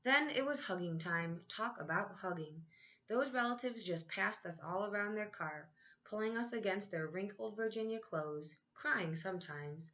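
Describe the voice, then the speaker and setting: A woman teacher reading a children's picture book aloud in a measured, expressive storytelling way, stretching out key phrases. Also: medium pitch, highs cut off.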